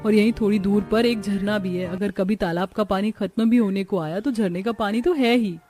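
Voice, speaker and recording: A woman's voice: medium pitch, normal speed, warm tone, faint music behind, close to an everyday voice. A woman voicing a young, practical village daughter-in-law, respectful and earnest, in a cartoon story. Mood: cheerful, reassuring. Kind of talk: Hindi cartoon story dialogue.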